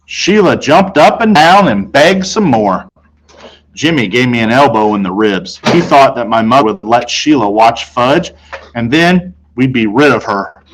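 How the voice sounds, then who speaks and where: A man reading a children's novel aloud in an expressive, conversational way, telling it as a boy in the first person. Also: medium pitch, unhurried, low-quality recording. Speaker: storybook reader.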